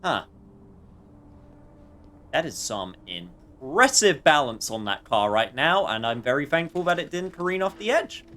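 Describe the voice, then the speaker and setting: A man's voice: mid-range voice, very animated, measured pace, a bit bright, a little hiss or hum. A man doing casual, rambling, jokey live commentary while streaming a game.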